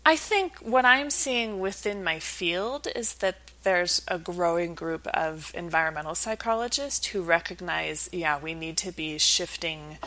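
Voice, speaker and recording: A woman's voice: lowish voice, bright, forceful tone, poor audio. A woman speaking as an academic researcher in an interview, explaining conversationally with everyday examples.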